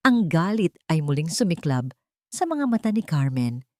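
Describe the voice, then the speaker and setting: A woman narrates a scripted dramatic story in a measured, earnest manner. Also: deep voice, very animated, a little mellow, no room sound, studio quality.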